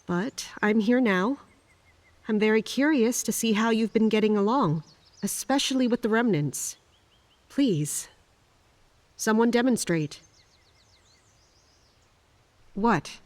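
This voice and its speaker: A woman playing a guarded, troubled military captain in an audio drama, speaking and narrating in a restrained, formal, deliberate way. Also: on the high side, a little mellow, no room sound, close to an everyday voice. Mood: composed, probing. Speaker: lead actor and first-person narrator. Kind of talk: audio drama acting with inner monologue.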